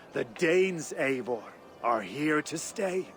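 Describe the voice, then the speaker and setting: Slow, mellowed Viking conqueror. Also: male voice, medium pitch, sing-song, a little hiss or hum, exaggerated voice. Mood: earnest, serene. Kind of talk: dramatic video game acting.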